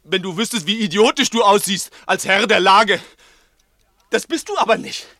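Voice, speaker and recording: A man's voice: high-pitched, lively intonation, moderate pace, creaky, pushed and bright, no echo, studio quality, exaggerated voice. A male actor playing a nervous, resentful small-time crook in an agitated, insistent way in a 1960s German radio play. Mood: bitter. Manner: agitated, insistent, repeating himself.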